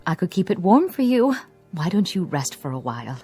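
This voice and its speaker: Casting: devoted housekeeper for radio play, deferential, anxious, caring, music clearly present behind the voice. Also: female voice, on the low side, sing-song, brisk, dark tone. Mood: solicitous. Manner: deferential, solicitous. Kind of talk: radio drama acting.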